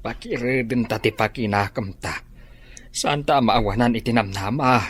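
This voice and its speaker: A man playing a worried, husky father. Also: medium pitch, moderate pace, fairly bright tone. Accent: Ilocano. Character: worried husband searching for his child. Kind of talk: radio drama acting.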